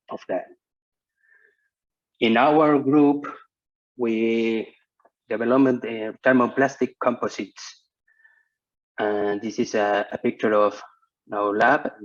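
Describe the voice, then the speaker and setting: Slow, halting Argentine man on a call. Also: lowish voice. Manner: hesitant, halting non-native English with many restarts. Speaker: researcher giving a conference talk. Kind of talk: online conference lecture. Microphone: video call. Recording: muffled video-call audio.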